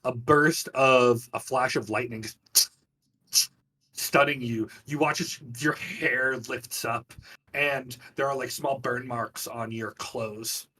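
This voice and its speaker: A man's voice: medium pitch, steady tone, takes it slowly, sharp tone. A man runs a tabletop fantasy game as game master in a mid-range voice. He narrates in a conversational, improvised way with some hesitation. The microphone sounds a little distant from him.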